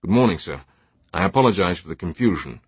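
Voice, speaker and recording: A man's voice: sits low, expressive, fast talker, breathy edge, dry recording, heavily acted. Man acting a flustered, apologetic airport official. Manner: deferential, uneasy.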